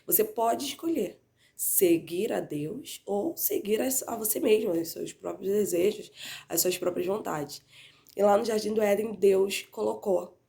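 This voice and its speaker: A woman teaching a Bible class, stuffy and slightly hoarse from a cold, with a nasal tone, talking conversationally and often adding "né?" at the end of her sentences. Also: medium pitch, unhurried, dark tone. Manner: conversational, frequently adds "né?" tag questions.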